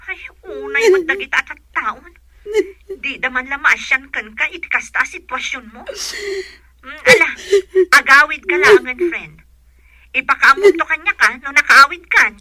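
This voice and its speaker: A woman's voice: sits high, expressive, normal speed, pushed and bright, dry recording, rough recording. A woman playing a concerned, outspoken young friend in a radio drama, sympathetic and urging. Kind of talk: serial radio drama acting in Ilocano.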